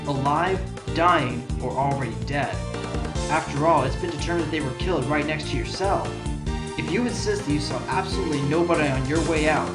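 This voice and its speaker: A man acting as a determined young defense attorney in a game-style courtroom drama. His voice sits fairly high and he presses every point with confident, theatrical emphasis, in a roomy recording under very loud music. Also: close to an everyday voice.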